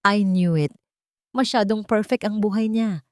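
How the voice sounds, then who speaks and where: Woman doing high, nosy Filipino gossips. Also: unhurried, clear, resonant tone, dry recording, studio quality, natural voice. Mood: nosy, judging. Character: judgmental gossiping online commenters, nosy and smug.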